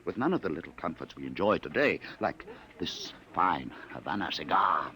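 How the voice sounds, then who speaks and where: An actor playing a smooth, falsely genial hunter of runaways in a 1950s radio drama, insinuating and mock-polite. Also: male voice, sits low, very animated, moderate pace, sharp tone, exaggerated voice. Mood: slyly menacing. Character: smooth menacing man hunting runaway time travellers, falsely genial. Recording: restored 1950s radio recording with hiss.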